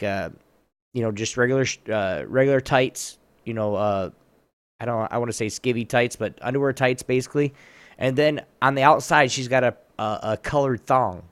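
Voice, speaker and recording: A man's voice: medium pitch, normal speed, clear, resonant tone, dry recording. A man chatting casually as a podcast co-host, rambling and blunt, full of slang. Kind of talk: casual chat. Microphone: online voice chat mic.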